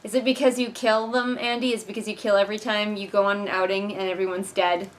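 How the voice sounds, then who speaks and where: A woman's voice: mid-range voice, level tone, fast talker, rough recording. A woman hosting a casual read-along, reviewing a book with exasperated, sarcastic commentary. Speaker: book reviewer and video host.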